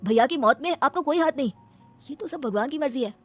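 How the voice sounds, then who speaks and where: Woman as fast-talking earnest young brother-in-law. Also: high voice, animated. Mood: reassuring, urgent. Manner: reassuring, insistent. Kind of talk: Hindi cartoon family melodrama. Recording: music behind the voice.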